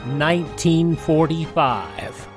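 A man's voice: a little high, slow. A man hosting a radio show, reading scripted continuity announcements. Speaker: radio host. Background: prominent music.

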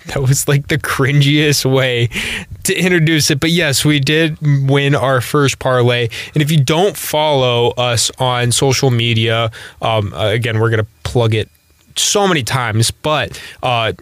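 A man's voice: medium pitch, fairly even intonation, measured pace, fairly bright tone, no room sound. A young man with a slight cold talks through a sports podcast in an easygoing, rambling way, full of ums and you-knows. His voice sits mid-range and is caught close on a dry mic. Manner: rambling, lots of fillers, easygoing. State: has a cold, sniffly. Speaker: podcast co-host. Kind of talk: casual sports podcast chat.

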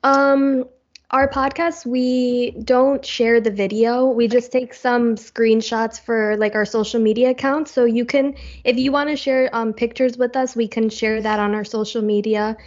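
A woman hosting a podcast, who asks questions in a friendly, relaxed way with filler words. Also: a little high, a little slow. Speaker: podcast host. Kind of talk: casual podcast interview. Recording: dull lo-fi sound.

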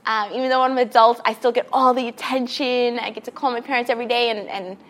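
A woman's voice: a little high, fairly even intonation, quick pace. A woman in her thirties from Queens, New York City, a children's librarian chatting as a podcast guest in an upbeat way and laughing often. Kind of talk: casual interview chat.

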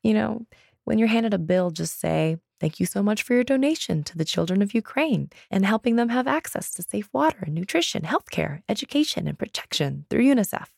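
A woman hosting a solo podcast episode, earnest and chatty, her voice raspy today. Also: medium pitch, expressive, a little fast, darkish tone, no echo, studio quality. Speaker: podcast host.

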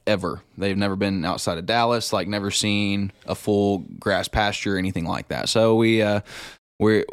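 A man's voice: on the low side, no echo, studio quality. A man co-hosting a podcast, telling anecdotes in a folksy, joking, informal way. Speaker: podcast co-host. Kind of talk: casual chat, anecdotal storytelling.

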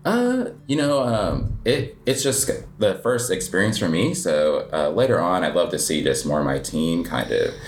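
A man's voice: sits low, sing-song, normal speed, hardly any pauses, a little hiss or hum. An American man giving casual, rambling interview answers in an informal way, often saying "like" as he explains. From United States. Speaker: interview guest, coffee shop owner.

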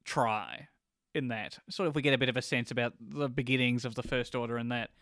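A man's voice: mid-range voice, fairly even intonation, a little fast, a bit bright, dry recording, clean studio sound. A man co-hosting a casual chat show, giving his reviews of films and series. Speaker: podcast co-host reviewing films and shows.

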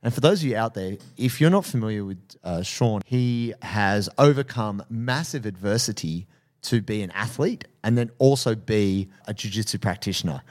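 A man hosting a podcast, warmly effusive in introducing and reacting to his guest. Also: fairly low pitch, animated, normal speed. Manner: effusive and enthusiastic. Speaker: podcast host. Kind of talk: casual podcast interview.

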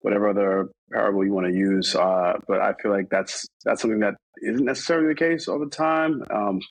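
A man's voice: lowish voice, fairly quick, no room sound, poor audio. A man from New York talking casually in an interview, rambling with many fillers and restarts. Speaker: interview guest.

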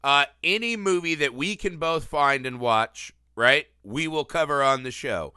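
A man hosting a casual film podcast, animated and teasing, a little sniffly from a cold. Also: on the high side, measured pace, smooth, dry recording, studio quality. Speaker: podcast host. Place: Los Angeles area.

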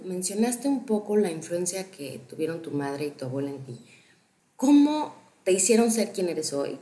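A woman's voice: deep voice, darkish tone. A woman interviewer putting composed questions to a guest, recorded with a roomy echo. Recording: roomy, echoing sound.

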